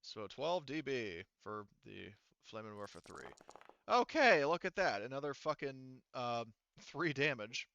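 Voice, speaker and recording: Crisp, bright man game-mastering, slightly dull audio. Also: medium pitch, big pitch swings, a little slow, no echo. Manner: informal, sweary. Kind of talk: running a tabletop roleplaying game, casual explaining.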